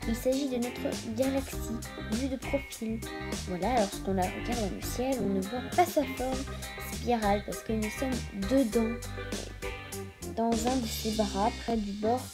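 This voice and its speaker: A woman's voice: a little high, measured pace, music in the background. A woman with a stuffy nose from a cold hosting a casual educational video, reading aloud and breaking into informal asides as she stumbles over long words. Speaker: video host.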